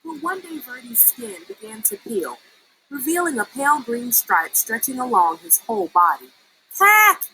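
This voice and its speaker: A woman reads a storybook aloud with expression. Her voice is bright and her pitch moves in a lively way. Faint noise sits behind it. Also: moderate pace, rough recording. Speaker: story reader.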